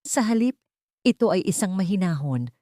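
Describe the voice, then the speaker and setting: A woman narrating a dramatic audiobook story in a measured, dramatic way. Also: medium pitch, very animated, warm tone, no room sound, studio quality.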